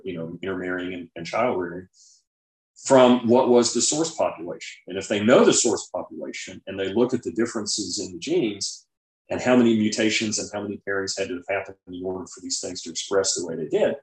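Low-voiced man explaining in an echoey room. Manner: informal, explanatory, with everyday examples. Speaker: guest author and scientist. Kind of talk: conversational interview explanation. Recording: roomy, echoing sound.

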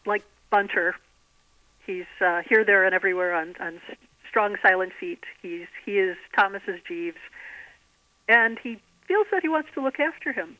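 A woman speaking as an author guest in an interview, explaining things articulately in measured phrases. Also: mid-range voice, dry recording, phone-quality sound. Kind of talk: interview conversation.

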